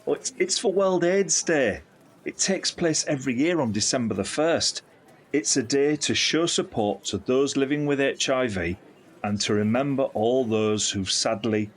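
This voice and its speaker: An actor playing a candid, caring British uncle in his fifties in an audio drama, with a husky voice. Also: mid-range voice, normal speed, close to an everyday voice. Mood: frank. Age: 50s. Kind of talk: audio drama acting, conversational monologue.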